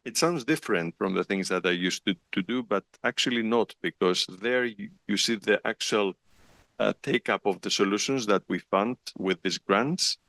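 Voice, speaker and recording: Guy, really deep gravelly voice, stop-start talk, dry sound. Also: fairly bright tone, no room sound. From Greece. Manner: halting, repeats words. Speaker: panel speaker. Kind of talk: self-introduction on a panel.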